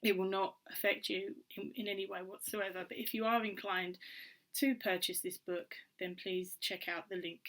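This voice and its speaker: A woman vlogger, slightly hoarse from a cold, chatting casually to camera with many ums and restarts. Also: medium pitch, fairly even intonation, moderate pace, a bit bright, rough recording. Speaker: vlogger and author.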